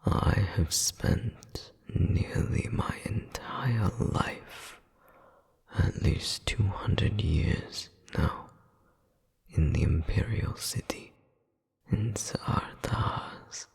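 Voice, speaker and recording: A man voicing a cold, condescending royal demon older brother in an audio roleplay, speaking formally and deliberately in a breathy, gravelly voice. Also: darkish tone, in a large hall, exaggerated voice. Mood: reproachful, haughty. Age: adult, centuries-old demon. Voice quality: breathy, gravelly low whisper. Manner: formal, haughty, slow and deliberate. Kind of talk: dramatic audio roleplay monologue.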